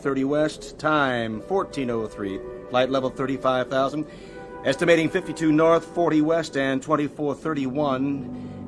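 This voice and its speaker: Crew radioman male actor, lighter pitch, formal clipped callouts, obedient, radio play scored with music. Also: close to an everyday voice. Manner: clipped, procedural radio calls. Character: dutiful, formal male airline radio officer. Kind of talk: radio drama acting. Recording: music behind the voice.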